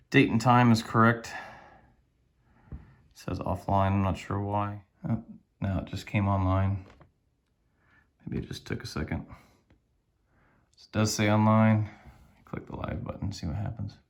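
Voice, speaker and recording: A man's voice: on the low side, steady tone, a little slow, gravelly, long pauses. A man giving a casual hands-on tutorial, thinking aloud in a tentative way as he demonstrates a device. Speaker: product reviewer demonstrating a device.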